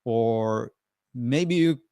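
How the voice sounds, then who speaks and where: Guy w doing a software walkthrough on a video call, kinda gravelly, lots of uhs, friendly. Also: mid-range voice, drawn-out words, dry recording, studio quality. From Sweden. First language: Swedish. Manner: hesitant, many fillers and restarts; friendly and encouraging. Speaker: presenter. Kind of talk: screen-share software tutorial.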